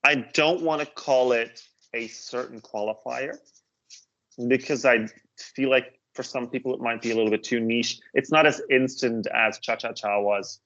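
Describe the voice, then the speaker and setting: Man, mid-pitched voice, casual review chat, stop-start phrasing, somewhat muffled sound. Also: moderate pace, a bit bright. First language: Dutch. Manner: hesitant, repeats words while thinking. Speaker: co-host reviewing songs.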